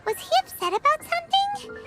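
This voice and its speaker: A tiny, squeaky fairy sidekick from a video game, pitched extremely high. She is childish, petulant and excitable, with a lively, swooping delivery. Loud music plays behind her. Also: a little fast. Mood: curious. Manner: refers to herself in third person, petulant.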